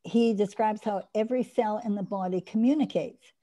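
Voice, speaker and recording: Older woman, course instructor, video call, mellow voice, eager explaining, restarts. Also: mid-range voice, moderate pace. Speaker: instructor hosting an online course. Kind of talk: teaching and taking questions on a video call.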